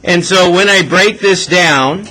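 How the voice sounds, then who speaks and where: A man's voice: high voice, slow, pushed and bright. A man teaching a math class informally, working a problem aloud and often checking in with short questions. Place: classroom with students. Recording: noisy classroom recording.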